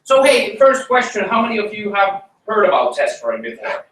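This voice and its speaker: A man with a Finnish accent giving a tech meetup talk, pausing often with ums and uhs. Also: sits high, big pitch swings, a bit bright, far from the mic. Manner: hesitant, many ums and uhs. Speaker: conference speaker. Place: meeting room. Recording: roomy echo, mic from afar, faint noise.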